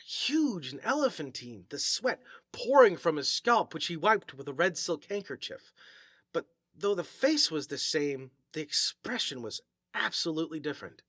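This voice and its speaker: A man reading an eerie classic short story aloud as scripted narration, with theatrical, deliberate phrasing. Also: on the high side, pushed and bright, no echo, clean studio sound. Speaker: story reader.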